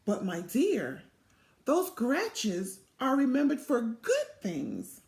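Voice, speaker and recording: A woman's voice: fairly high pitch, huge pitch swings, takes it slowly, creaky, a little mellow, exaggerated voice. A woman voicing a stern, cross school principal in a storybook reading. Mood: admonishing. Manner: cross, admonishing. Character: stern, owlish school principal questioning a child. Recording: echoey room sound.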